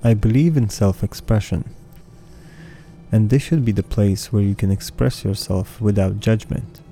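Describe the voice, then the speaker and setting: A man in his thirties whose first language is Russian, hosting a podcast and reading his own lyrics in an earnest, measured recitation. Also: fairly low pitch, mellow, a little hiss or hum. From Russian-speaking background. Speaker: podcast host. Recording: faint music bed behind voice.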